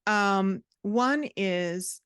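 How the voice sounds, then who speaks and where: A woman's voice: medium pitch, extremely slow, fairly bright tone, clean tone, no echo, studio quality. A middle-aged woman giving candid, emphatic advice in a conversational podcast interview as a guest author and writing coach. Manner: candid, emphatic, self-described sassy. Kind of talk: conversational interview answers, explaining and advising.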